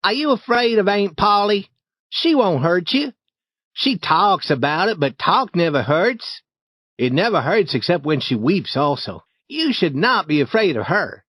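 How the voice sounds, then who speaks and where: A man's voice: high voice, big pitch swings, measured pace, a bit bright, no echo, pitched above the performer's own voice, full character voice. A man voicing a clever young boy who coaxes and boasts in a storybook reading. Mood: coaxing. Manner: coaxing, boastful.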